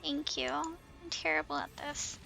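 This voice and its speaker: A woman's voice: high-pitched, fairly even intonation, a little slow, gravelly, pushed and bright, rough recording. A woman livestreamer giving casual, easily flustered commentary as she talks herself through a game. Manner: giggly, easily flustered, self-talking. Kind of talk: casual live gameplay commentary. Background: background music.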